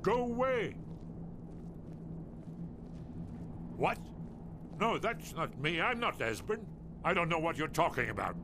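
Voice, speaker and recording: Casting: agitated elderly doomsayer for a fantasy game, urgent and dramatic, very animated delivery, noisy capture. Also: male voice, fairly high pitch, moderate pace. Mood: alarmed, defensive. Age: older. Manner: fretful, dramatic, urgent muttering. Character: paranoid, agitated old man, hidden scholar of dragon slayers. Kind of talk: video game character acting.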